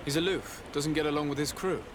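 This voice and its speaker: A man playing a courteous, composed noble envoy in a video game, with formal, measured speech. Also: fairly high pitch, noisy background, close to an everyday voice. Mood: courteous, inquiring. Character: polite, composed, probing young nobleman envoy. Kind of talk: video game dialogue acting.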